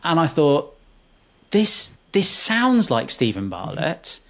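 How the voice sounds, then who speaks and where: A man hosting a podcast interview, chatty and rambling, with quick affirmations. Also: a little high, wildly swooping pitch. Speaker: podcast host.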